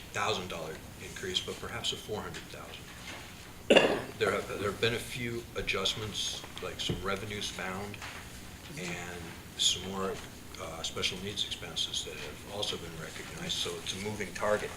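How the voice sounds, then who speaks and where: A man chairing a budget subcommittee meeting, speaking haltingly with many fillers and restarts. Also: deep voice. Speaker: committee chair. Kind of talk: public meeting discussion. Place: meeting room. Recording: echoey room, loud noise.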